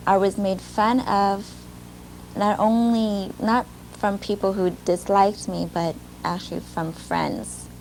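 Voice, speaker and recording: A young woman talks in a soft, halting, reflective way, with a gravelly fry running under many of her words. Her voice comes from an older video clip, with a faint hiss behind it. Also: medium pitch, steady tone, low-quality recording. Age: young adult. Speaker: former student telling her story in a video. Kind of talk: personal testimony. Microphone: archival video clip played during a webinar.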